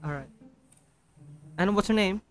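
A man's voice: high voice, unhurried. A shy, polite man in his twenties from the Philippines, chatting with strangers on a video call between songs. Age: 20s. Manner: shy, polite, repeats thanks. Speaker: singing vlogger on video chat. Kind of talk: casual chat with strangers, singing with guitar. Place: bedroom with pink light, indoors. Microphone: studio mic on stand. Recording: guitar music behind.

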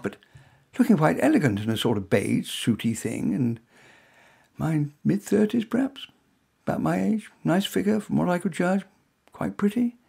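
An English man narrates a comic short story in the first person. His voice is husky and creaky, with a very animated pitch that rises and dips through droll, conversational asides. The delivery is wry, like an author reading his own work.

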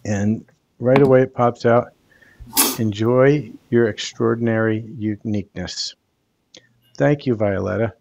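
An older man hosting a live card reading over a headset, speaking in a calm, soothing, unhurried way. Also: mid-range voice, gravelly, slight background noise. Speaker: tarot card reader.

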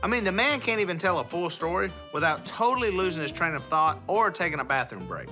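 A man's voice: sits high, very animated, fast talker, bright, forceful tone, soft background music. A man, teasing dryly in interview asides. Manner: dry, teasing. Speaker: reality show cast member. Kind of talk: casual chat and interview asides.

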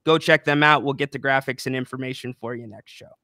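A man's voice: mid-range voice, steady tone, bright, forceful tone, dry recording, studio quality. A young man co-hosting a casual postgame basketball show, speaking into a podcast microphone in a small room with an upbeat, promotional manner. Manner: upbeat, promotional, brisk play-by-play recap. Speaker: co-host. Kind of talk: casual postgame sports chat. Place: small room with a plant and a banner. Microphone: podcast microphone on a boom arm.

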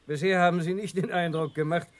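A man playing a thoughtful science professor with a formal, deliberate manner in a children's sci-fi radio play. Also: sits high, fairly even intonation, a little hiss or hum, natural voice.

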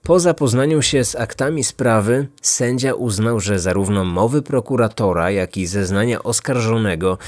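A man's voice: on the low side, normal speed, hardly any pauses, a little mellow. A man hosting a podcast, reading scripted true-crime narration. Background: faint music.

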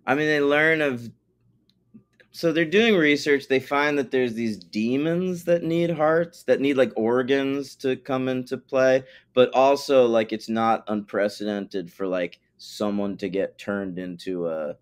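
A man's voice: mid-range voice, fairly even intonation, a little slow, smooth. A man co-hosting a podcast, talking bluntly and swearing freely in a casual, teasing recap chat. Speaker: podcast co-host.

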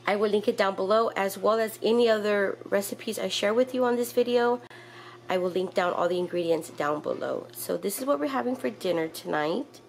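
A woman's voice: mid-range voice, a little fast, gravelly. Middle-aged woman, friendly home-cook host explaining a recipe step by step, kitchen recording with light noise. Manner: instructional, walks through each step with fillers. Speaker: home cooking channel host. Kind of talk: casual step-by-step cooking narration.

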